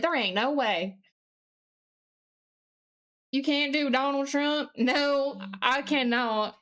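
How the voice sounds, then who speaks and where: A woman's voice: high-pitched, a bit bright. A woman with a put-on Southern US accent, chatting casually as a live streamer in a folksy, mildly sweary way while working at a computer.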